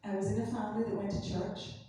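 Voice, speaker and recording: A woman's voice: medium pitch, flat delivery, brisk, mellow, a little hiss or hum. A woman interpreting a church testimony sentence by sentence, rephrasing plainly. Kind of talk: consecutive interpreting into English. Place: chapel. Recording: echoey chapel from far off.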